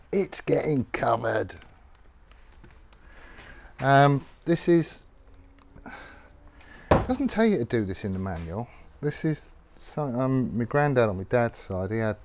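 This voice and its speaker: A man's voice: medium pitch, very animated, slow, gravelly, pauses often, darkish tone. A middle-aged man casually explaining his tool care while working in a garage workshop, rambling and matter-of-fact with many ums. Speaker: workshop vlogger.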